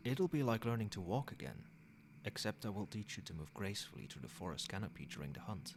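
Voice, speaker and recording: A man playing a centuries-old vampire sire in an ASMR roleplay, a soothing, possessive caretaker who speaks softly in measured, formal phrases. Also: on the low side, big pitch swings, background noise. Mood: gentle, instructive.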